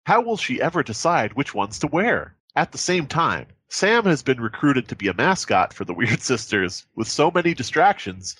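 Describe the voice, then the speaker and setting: A man with a very animated, swooping delivery chats casually as a podcast host. He jokes and swears freely in loose comedic banter, recorded dry and close. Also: mid-range voice, moderate pace, hardly any pauses, husky, dry recording. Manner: profane, joking banter.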